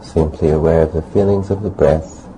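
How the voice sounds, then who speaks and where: A man teaching a guided meditation as a monk, speaking calmly in short, measured phrases with pauses. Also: rumbling low, low-quality recording. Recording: faint background noise.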